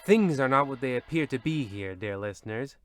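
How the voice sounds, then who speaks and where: A man as a bright-voiced, weary horror host. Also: mid-range voice, expressive, a little fast, faint music behind, natural voice. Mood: ominous. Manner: ominous, theatrical, grumbling. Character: weary, disappointed horror-show narrator and uncle. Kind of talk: old-radio horror host narration.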